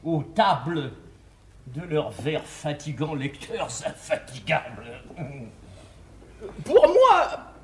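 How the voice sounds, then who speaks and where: Very slow, pompous pedant. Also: male voice, on the high side, huge pitch swings, slight background noise, heavily acted. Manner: ponderous, ceremonious. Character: pompous, pedantic scholar feigning modesty in soft tones. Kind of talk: classical French verse comedy on stage. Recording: echoey 1950s theatre recording.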